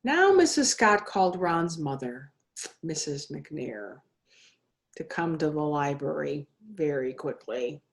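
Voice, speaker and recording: A woman reading a children's story aloud in a deliberate, expressive way, as a guest minister. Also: deep voice. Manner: slow, deliberate, expressive phrasing. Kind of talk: storybook read-aloud for all ages.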